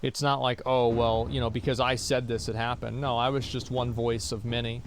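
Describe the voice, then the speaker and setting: A man's voice: mid-range voice, level tone, normal speed, a little hiss or hum. A young man streaming a game from home, chatting casually with viewers, answering their questions in a blunt, rambling way. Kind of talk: casual chat with livestream viewers while gaming.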